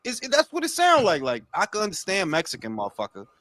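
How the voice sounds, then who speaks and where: A man's voice: high voice, huge pitch swings, fast talker, over a noisy crowd. A Dominican man hosting a casual boxing chat, speaking in profane, emphatic slang and repeating himself for emphasis. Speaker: boxing talk show host.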